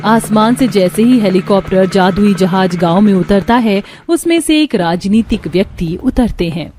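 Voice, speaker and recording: A woman's voice: medium pitch, lively intonation, moderate pace, background noise. A woman reading scripted storybook narration for a cartoon tale.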